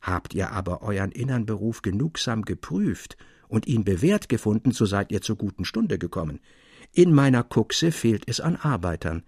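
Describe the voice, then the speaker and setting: A man reading the part of an earnest middle-aged mine master in a storybook, with grave, formal, measured speech. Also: lowish voice, big pitch swings, a little mellow, breathy edge, dry recording, studio quality, heavily acted. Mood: solemn, earnest.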